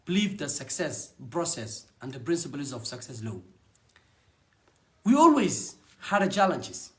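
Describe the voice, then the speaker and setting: A young man with a Somali accent, a native Somali speaker, giving an earnest personal testimonial about his network marketing business in short halting phrases. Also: fairly high pitch.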